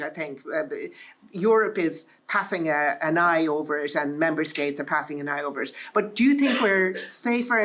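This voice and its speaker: A woman's voice: fairly low pitch, a bit bright. A woman chairing a panel discussion at a live event, friendly and chatty, asking long wordy questions. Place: event room with an audience.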